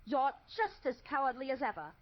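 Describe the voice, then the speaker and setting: A woman acts out a scornful young newspaperwoman in an old radio drama. Her voice sits fairly high and swings with exasperation. The sound is dull, with a little background noise. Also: very animated, a little fast, dry recording. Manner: exasperated, sarcastic, crisply spoken. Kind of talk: 1940s radio acting. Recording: muffled, noisy audio with background ambience.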